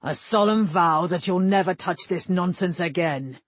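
Female narrator as hot-tempered ageing king, gruff and husky, commanding, rustic turns of phrase, fantasy audiobook. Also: fairly low pitch, normal speed, no room sound. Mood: furious, commanding. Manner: rustic dialect words, blustering, commanding. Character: massive grey-haired warrior king, gruff, domineering, hot-tempered father.

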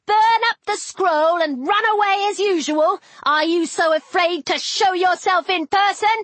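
Woman as a defiant, high-pitched young girl magician. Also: a little slow, a bit bright, no room sound. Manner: yelping, urgent, challenging. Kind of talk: audiobook character voice.

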